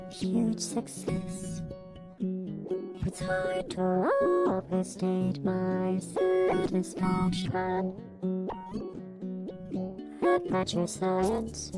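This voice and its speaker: A woman voicing a smug, passive-aggressive artificial intelligence singing a video game song, deadpan, with a flattened robotic voice. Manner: monotone, deadpan. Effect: robotic pitch-flattened voice processing. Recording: loud guitar music behind. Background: guitar music.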